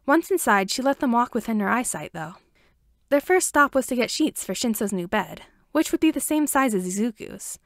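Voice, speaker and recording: A woman narrates fiction in an amateur audiobook style. Her voice sits a little high and moves with very animated intonation. The recording is dry and close, with no room sound. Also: no room sound. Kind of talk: scripted story narration.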